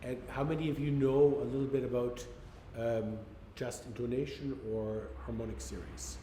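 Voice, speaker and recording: A man's voice: medium pitch, steady tone, normal speed, dark tone. A man from Canada, a composer giving an informal talk to a group, rambling and correcting himself as he goes. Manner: rambling, self-correcting, conversational. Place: large echoey room with an audience. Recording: distant echoey hall recording, room noise.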